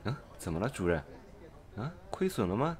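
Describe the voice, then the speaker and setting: A man's voice: on the low side, sing-song, a little fast. A man playing an eager, impatient purchasing agent in a parody radio drama, exclamatory and theatrical as he chums up to his boss. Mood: solicitous.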